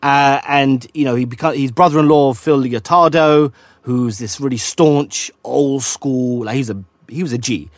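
A man co-hosting a casual talk, agreeable and quick to chime in. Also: medium pitch, no echo. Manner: agreeable, frequent yeahs and backchannels. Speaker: podcast co-host. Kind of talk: casual chat.

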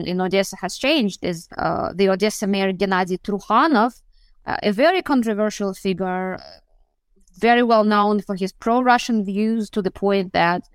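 A woman's voice: medium pitch, no echo. Woman on a remote call, dry sound. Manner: thoughtful, searching, frequent restarts. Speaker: foreign correspondent guest. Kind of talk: news podcast interview answer.

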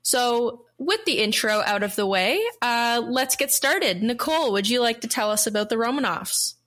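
A young woman hosts a podcast and opens with a friendly, upbeat welcome. Her voice sits a little high for a woman. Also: animated, moderate pace, a bit bright. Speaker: podcast host. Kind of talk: podcast introduction.

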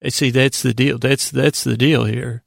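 A man with a heavily creaky, gravelly voice at an average pitch hosts a bedtime podcast. He meanders through an informal monologue, halting and doubling back in a self-deprecating way. The recording is very dry, with no sense of the room. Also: fairly even intonation, dry recording. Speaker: host of a bedtime sleep podcast.

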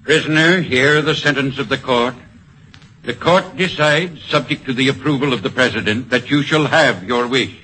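A stern court judge in vintage radio drama. The voice is hard, bright and a little raspy, with formal, declamatory weight on each phrase. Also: male voice, medium pitch, normal speed, low-quality recording. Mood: stern, pronouncing. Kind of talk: old-time radio acting.

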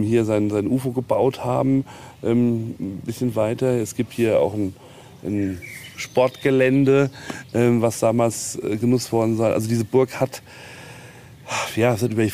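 A man's voice: on the low side, warm tone. A man guiding an informal castle tour outdoors, explaining history in a hesitant way with many ums. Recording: noisy outdoor location recording.

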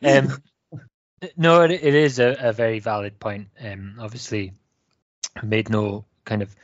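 A man's voice: fairly low pitch, fairly even intonation, a little slow, no echo, dull audio. A man from Wales who speaks with a Scottish accent, a podcast guest answering thoughtfully with frequent restarts. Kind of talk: casual podcast chat.

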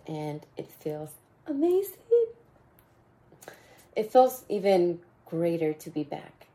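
A woman with a raspy voice hosting a motivational podcast, talking casually and mentoring her listeners, often repeating phrases. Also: fairly low pitch. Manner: warm mentoring tone, repeats phrases, bursts of laughter. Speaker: podcast host.

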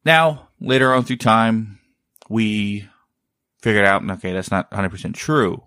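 Low-voiced man chatting loosely. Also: measured pace, sharp tone, clean tone, dry recording, studio quality. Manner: rambling, often searching for words. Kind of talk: casual chat.

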